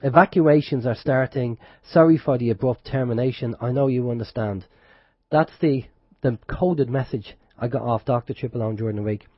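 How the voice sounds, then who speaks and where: A man's voice: medium pitch, normal speed, no echo. A man hosting an internet radio show in casual chat, with a head cold and sore throat. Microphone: close studio microphone. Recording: dull lo-fi internet radio stream.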